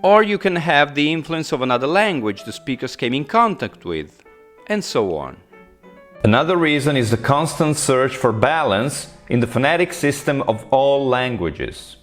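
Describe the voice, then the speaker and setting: A man with an Italian accent, a native Italian speaker, giving a scripted linguistics lesson with clear explanations and playful asides. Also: mid-range voice, a bit bright. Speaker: linguistics teacher. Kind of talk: scripted educational narration.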